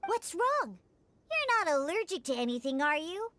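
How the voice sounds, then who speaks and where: Very high-pitched feisty little cat-girl. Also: very animated, normal speed, bright, forceful tone, slight background noise, full character voice. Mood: smug. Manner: pouty, assertive. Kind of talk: video game voice acting.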